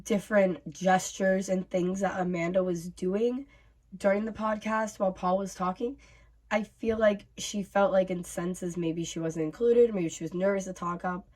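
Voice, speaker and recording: A woman in her twenties hosting a reaction vlog, voice raspy from bronchitis, chatting casually with many fillers. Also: medium pitch, steady tone, normal speed, darkish tone. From North America. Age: 20s. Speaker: YouTube host.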